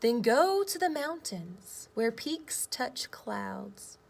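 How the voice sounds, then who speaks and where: A woman voicing the Earth as a wise, guiding storybook spirit, speaking emphatically with drawn-out phrase endings. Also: fairly high pitch, sing-song, heavily acted. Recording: clear background noise.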